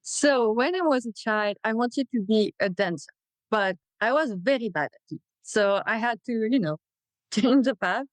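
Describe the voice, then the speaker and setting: A woman from France with a French accent, a researcher answering interview questions in a plain, slightly halting way. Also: on the high side, expressive, no echo, low-quality recording. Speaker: guest researcher.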